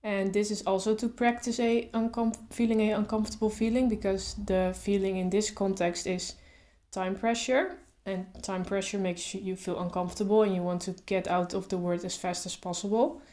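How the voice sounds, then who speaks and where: A woman vlogger talking to camera in a calm, reflective way, stuttering openly with blocks and repeated sounds. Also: medium pitch, moderate pace, hardly any pauses. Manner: calm, reflective, thinks aloud with fillers. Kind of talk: casual talk to camera.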